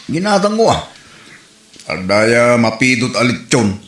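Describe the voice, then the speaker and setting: A man's voice: medium pitch, very animated, a little slow, a bit bright, echoey room. A man playing an exasperated, bickering husband and father in an Ilocano comedy drama. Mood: casual, grumbling.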